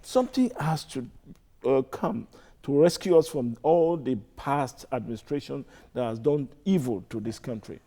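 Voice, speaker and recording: A man's voice: medium pitch, lively intonation, moderate pace, warm tone. A Nigerian man in his fifties, a guest actor on a talk show, speaking hesitantly with many fillers and chuckles. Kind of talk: casual chat.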